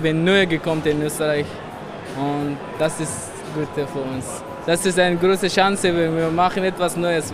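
A seventeen-year-old man from Afghanistan, answering interview questions in halting, simple phrases with pauses. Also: a little high. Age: teens. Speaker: trainee at a workshop. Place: busy indoor fair hall.

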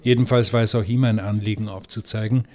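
Lowish-voiced man narrating, measured. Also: fairly even intonation, a little mellow. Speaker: interviewer and narrator.

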